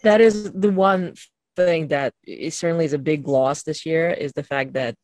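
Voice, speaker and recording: A podcast host moderates an online group chat in a mid-pitched voice. The delivery is wordy and halting, full of hesitations, in casual conversation. The sound is dry, with very little room in it. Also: darkish tone, no room sound. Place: online video call.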